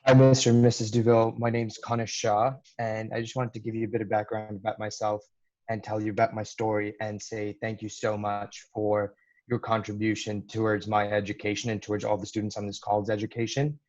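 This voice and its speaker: A man's voice: fairly low pitch, completely flat delivery, fairly quick, darkish tone. A young man from Dubai, a university student giving an earnest thank-you speech to donors over a video call. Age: young adult. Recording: dull lo-fi video call audio.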